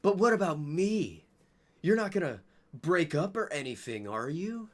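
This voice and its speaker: A man's voice: on the high side, sing-song, a little slow. A man voicing a needy, emotional teenage boy in an overwrought, pleading way for a comic story reading. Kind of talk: comic fan fiction reading.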